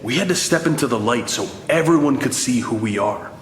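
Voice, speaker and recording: An actor voicing a young male mutant brawler, sincere, steady and idealistic, in an animated drama. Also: medium pitch, a little fast, close to an everyday voice. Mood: earnest. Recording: echoey hall with faint noise.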